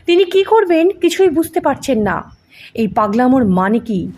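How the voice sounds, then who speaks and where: A woman's voice: high voice, big pitch swings, moderate pace, darkish tone, slight background noise. A woman reading a ghost story as dramatic scripted narration. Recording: music under the voice. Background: background music.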